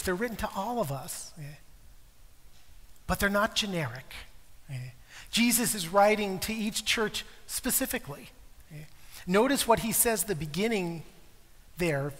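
A middle-aged man preaches from the front of a large church sanctuary, his voice pitched high for a man. He talks in a relaxed, conversational way, checking in with his congregation every few phrases. His voice rings with the long reverb of the hall, picked up on a lapel mic. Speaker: pastor. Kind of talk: sermon.

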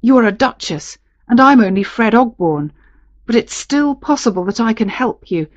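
A woman narrator voicing a lovelorn gentleman captain, earnest and romantic, in a storybook. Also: fairly high pitch, expressive, normal speed, dry recording, highs cut off, pitched above the performer's own voice. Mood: ardent, concerned. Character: ardent, pleading gentleman captain in love.

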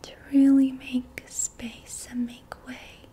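A young woman whispering breathily in a soothing, gentle ASMR style as she guides an energy healing session. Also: high voice, flat delivery, slow pace, husky. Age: young adult. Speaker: ASMR reiki practitioner. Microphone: close mic.

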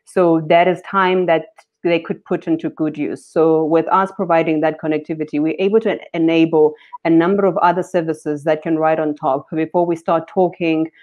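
Low-voiced woman on a dull call. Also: normal speed. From South Africa. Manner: steady explanatory, with ums. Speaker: company founder, panelist. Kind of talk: panel discussion talk. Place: indoors, home room with curtains. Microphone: webcam on video call. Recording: dull lo-fi video call audio.

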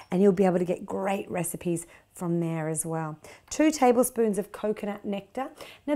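A woman's voice: mid-range voice, dark tone. A woman in her thirties with an Australian accent, hosting a cooking demonstration in a home kitchen and talking in a chatty, enthusiastic, gushing way. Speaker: cooking show host.

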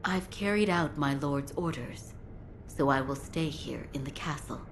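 A woman playing a composed, gentle physician in a video game, soft-spoken, formal and quietly wry. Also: sits low, unhurried, background noise.

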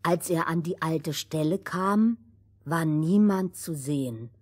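A woman's voice: low voice, slow, a little mellow, breathy edge. A woman storyteller narrating a scripted fairy tale for children.

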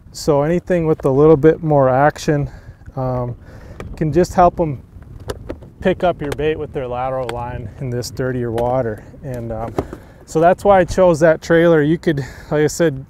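A man's voice: on the high side, a little hiss or hum. Easygoing man teaching from a boat. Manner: relaxed, easygoing, many fillers. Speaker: pro bass angler. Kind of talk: on-the-water fishing instruction. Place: open boat on a lake, outdoors.

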